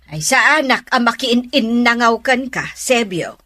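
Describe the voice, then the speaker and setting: A woman's voice: on the high side, lively intonation, unhurried, pushed and bright, no room sound, clean studio sound. A woman playing a gossipy, easily annoyed middle-aged mother in a radio drama, chatty and insistent.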